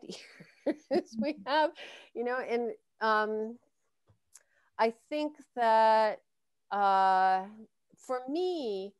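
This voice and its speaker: American woman, 60s, smooth and clear, mid pitch, dry close sound; reflective, filler-heavy delivery. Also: no room sound. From United States. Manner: thoughtful, hesitant with many fillers and trailing restarts. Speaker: guest speaker. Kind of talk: conversational Q&A on a video call.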